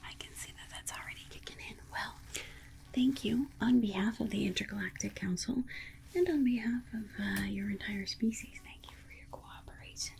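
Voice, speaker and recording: A woman playing a polite, condescending alien scientist in an ASMR roleplay, whispering in a soothing, coaxing manner with breathy delivery. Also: mid-range voice, moderate pace, a little mellow. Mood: politely reassuring. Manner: soothing, coaxing, hesitant asides. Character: alien scientist, polite, reassuring, breezily condescending toward humans.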